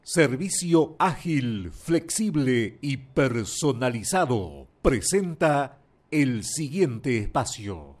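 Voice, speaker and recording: Slow announcer dude reading a sponsor plug, lively pitch, guitar music clear behind. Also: male voice. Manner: slow, deliberate promo delivery. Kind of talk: scripted ad read.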